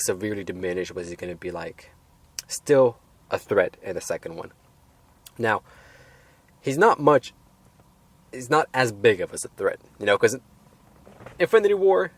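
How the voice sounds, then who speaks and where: A man reviewing a film in a casual, unscripted way, halting and rambling as he hedges his points. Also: mid-range voice, no echo.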